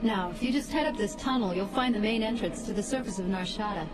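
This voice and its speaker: An actress playing a tough, independent smuggler pilot with a brash, needling manner in a science fiction audio drama. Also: female voice, medium pitch, fast talker, over music, natural voice. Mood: firm, dismissive. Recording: clear spaceship ambience noise.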